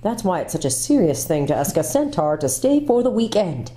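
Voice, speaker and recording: A woman's voice: lowish voice, big pitch swings, brisk, dark tone. A woman playing a polite eager fawn. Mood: earnest. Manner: chatty, earnest, courteous.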